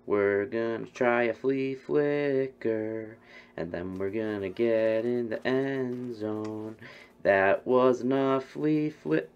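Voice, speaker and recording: Slow, creaky young man streaming games. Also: medium pitch. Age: young adult. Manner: repeats phrases over and over. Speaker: game streamer. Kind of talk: casual joking gameplay commentary. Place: bedroom, indoors. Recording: dull, lo-fi webcam stream audio.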